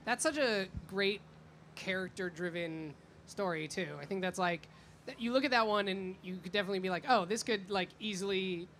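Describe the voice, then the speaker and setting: A man's voice: sits high, brisk, a bit bright. Guy host doing casual interview at a con, says like a lot, some noise behind him. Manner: informal, peppers speech with "like". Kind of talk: casual fan-like interview chat. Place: fan convention event. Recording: noisy live event with background ambience.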